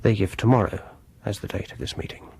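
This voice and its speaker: An actor playing a reserved, quietly insistent music lover in a British radio horror drama, speaking with a British accent in a formal, hesitant, measured way. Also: male voice, fairly low pitch, fairly even intonation, gravelly, slight background noise. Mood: hesitant, confessing.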